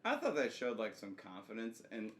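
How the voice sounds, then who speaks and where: A man with a fairly low voice talks casually in a group podcast chat. His phrasing is slangy and jokey. The room rings noticeably, with a faint layer of noise behind him. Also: wildly swooping pitch, moderate pace, fairly bright tone. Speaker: podcast co-host. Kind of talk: casual group chat recapping a reality show. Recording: roomy echo, faint background noise.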